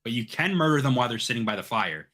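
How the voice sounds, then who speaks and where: A man's voice: medium pitch, lively intonation, quick pace, a bit bright. A man hosting a gaming podcast, chatting in an eager, informal way and swearing freely. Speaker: podcast host. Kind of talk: casual gaming podcast chat.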